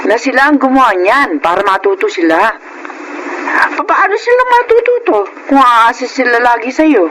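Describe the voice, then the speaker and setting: A woman's voice: fairly high pitch, sing-song, normal speed. An actress playing a worried, protective middle-aged mother in a Filipino radio drama. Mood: scolding, concerned. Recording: noisy radio drama audio.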